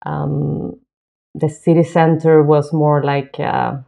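A woman in her thirties speaks as a guest in a relaxed, thoughtful way, stopping for fillers now and then. Her voice sits low and has a gravelly edge. Her tone is mellow and dark. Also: slow. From southern Chile. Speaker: guest, lighting designer. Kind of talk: casual interview talk. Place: home.

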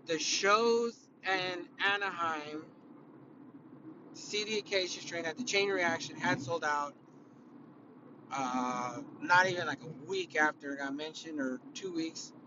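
A man from California with a raspy, tired voice hosting a casual solo podcast, rambling and swearing, full of fillers and restarts. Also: on the high side, slow, lo-fi sound, background noise. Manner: rambling, profane, opinionated, with many fillers and restarts. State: raspy, worn-out voice after concerts. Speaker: podcast host.

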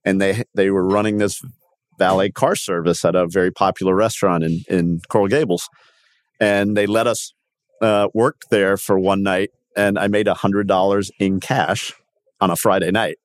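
A man in his sixties, born in Cuba and raised in Miami, speaks in a fairly low voice. He tells stories conversationally as a podcast guest, often stopping to restart or correct himself. The sound is close and dry. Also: fairly even intonation, normal speed, no room sound. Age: 60s. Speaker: podcast guest, company founder. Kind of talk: conversational interview storytelling.

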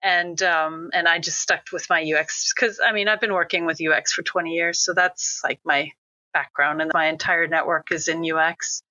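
A woman's voice: sits low, steady tone, moderate pace, bright, forceful tone, no echo. A middle-aged woman with an American accent, a conference organizer, talking casually in an interview with many fillers and restarts. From United States. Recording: dull lo-fi sound.

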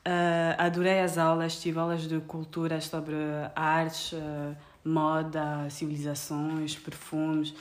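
Low-voiced young woman. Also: completely flat delivery, takes it slowly. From France and Angola. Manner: hesitant, many fillers and restarts, laughs easily. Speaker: guest pastry chef. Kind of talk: casual podcast interview.

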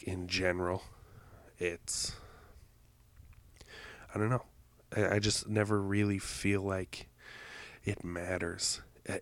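A man hosting a podcast, congested from allergies, rambling in a halting way with many fillers and self-corrections. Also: low voice, a little mellow, no room sound. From Canada. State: congested, stuffed-up nose. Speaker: podcast host. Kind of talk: rambling solo intro.

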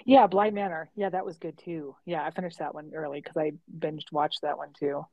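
Woman podcast co-host, chatty, lowish voice, dry close sound. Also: steady tone, moderate pace, dry recording, muffled recording. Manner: chatty, laughs often. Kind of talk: casual chat.

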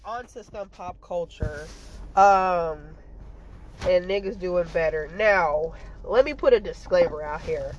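A woman's voice: lowish voice, slow, muffled recording, slight background noise. A woman with a stuffy nose giving a casual, blunt commentary monologue as a podcast host, rambling and full of fillers and swearing.

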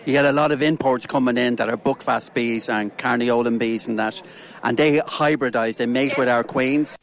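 A middle-aged Irish beekeeper talking at his stall at an outdoor show, in an easygoing and chatty way. His voice has an ordinary middle pitch. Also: steady tone, moderate pace. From Ireland.

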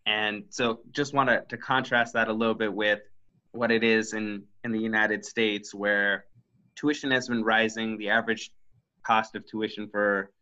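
A man hosting an interview, asking prepared, deliberate questions. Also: on the low side, little pitch movement, bright, forceful tone. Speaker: podcast host. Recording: dull lo-fi sound.

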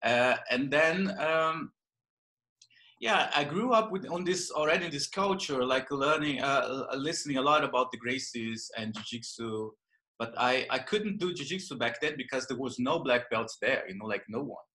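A man from northern Brazil with a Brazilian accent, telling his story as an interview guest, halting with many fillers and restarts. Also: mid-range voice, bright, forceful tone. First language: Portuguese. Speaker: guest, jiu-jitsu and yoga teacher. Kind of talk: casual interview.

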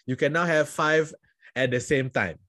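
A man's voice: fairly high pitch, moderate pace, fairly bright tone. A man from Singapore hosting a casual podcast, telling rambling stories with lots of fillers. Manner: chatty, rambling storytelling with many fillers. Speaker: podcast host. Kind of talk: casual chat.

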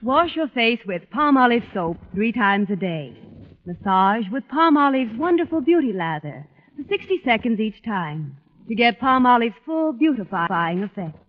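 Female commercial announcer, 1940s radio, instructive and polished, lively pitch, phone-like old recording. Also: normal speed. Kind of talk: 1940s radio advertisement read. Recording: tinny narrowband old radio broadcast.

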